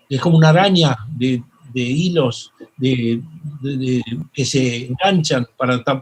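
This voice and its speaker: An older Argentine man with a Rioplatense accent, a psychoanalyst telling stories in an interview, halting with many fillers and restarts. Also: on the high side, slightly rough, rough recording. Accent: Rioplatense Spanish. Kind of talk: reflective interview storytelling.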